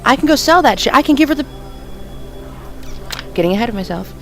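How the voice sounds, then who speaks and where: Sweary, excitable woman playing a game. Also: high-pitched, a little fast. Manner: excitable, swears often, reads dialogue aloud. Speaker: game streamer. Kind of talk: let's play commentary.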